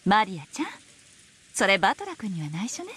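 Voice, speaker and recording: Proper anxious middle aged lady, game voice, breathy, very lively up n down, fast. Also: mid-range voice, exaggerated voice. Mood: composed.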